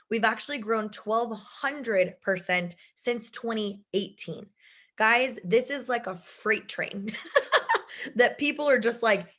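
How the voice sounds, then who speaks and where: A woman in her thirties giving a chatty video call presentation from home, her voice strained from shouting, laughing often. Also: fairly high pitch. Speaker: business presenter.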